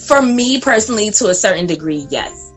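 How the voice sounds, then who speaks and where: A woman in her late twenties chatting casually as a podcast guest, animated and laughing, sometimes talking straight to the listeners. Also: mid-range voice, brisk, soft background music. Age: 20s.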